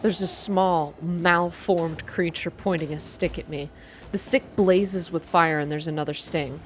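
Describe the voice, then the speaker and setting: A woman reading first-person story narration aloud in a soft, hushed, measured ASMR style. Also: fairly low pitch, fairly even intonation, faint music behind. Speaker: narrator reading a visual novel game aloud.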